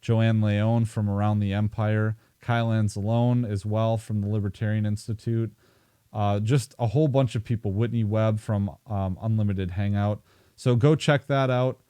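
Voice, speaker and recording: A man's voice: fairly low pitch, steady tone, normal speed, warm tone, clear, resonant tone, no room sound. A man hosting a podcast, reading sponsor plugs in a casual way with some filler words, then asking his guest questions. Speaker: podcast host.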